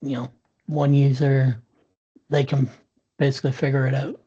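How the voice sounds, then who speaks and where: Dark, husky man telling a story. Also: mid-range voice, little pitch movement, moderate pace, muffled recording. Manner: frequent restarts and fillers, earnest. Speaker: interview guest, sales professional. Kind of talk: candid conversational storytelling. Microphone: video call.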